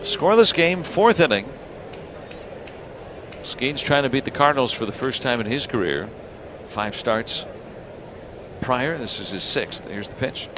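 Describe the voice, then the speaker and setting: An American man doing live radio play-by-play of a baseball game in short, measured phrases with asides between pitches. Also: expressive, noisy background. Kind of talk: live sports commentary with ad-libbed asides.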